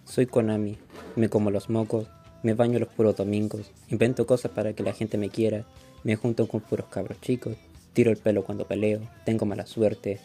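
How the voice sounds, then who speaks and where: A Chilean man playing a bitter, self-loathing bullied schoolboy in a deadpan radio play monologue. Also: fairly low pitch, moderate pace, soft background music, lightly acted. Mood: bitter, dejected. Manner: flat, deadpan listing of failings.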